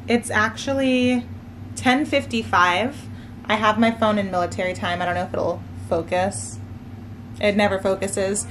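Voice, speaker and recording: A woman in her late twenties, a nursing student from Maryland, chatting casually to camera at home at night. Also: a little high. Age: 20s. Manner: relaxed, chatty, frequent "like" and "so". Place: living room floor at home, indoors. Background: faint room ambience, heater hum.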